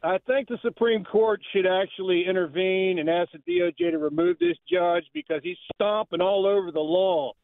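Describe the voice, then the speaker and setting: A man's voice: high-pitched, big pitch swings, normal speed, gravelly, hardly any pauses, no echo. A man calling a talk radio show over a phone line, arguing politics in an indignant, emphatic way. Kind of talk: impassioned political opinion. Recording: tinny narrow phone line.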